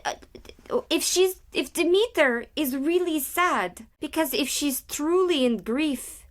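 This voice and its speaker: A woman's voice: high voice, lively intonation. A woman playing a temperamental stage actress rehearsing a grieving goddess, with theatrical delivery, in a video game. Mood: indignant. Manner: theatrical, slow recitation broken by argumentative asides. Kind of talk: dramatic game voice acting.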